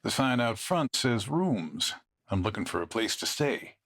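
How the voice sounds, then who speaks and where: A man playing a calm, courteous stranger in an old west comedy audio drama. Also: fairly low pitch, a little slow, heavy background noise. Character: polite, calm mysterious male stranger.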